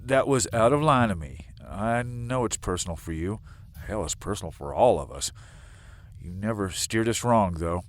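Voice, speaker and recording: An actor playing a plain-spoken, hot-headed but fair sheriff in an audio drama, blunt and informal. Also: male voice, fairly low pitch, animated, normal speed. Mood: conciliatory.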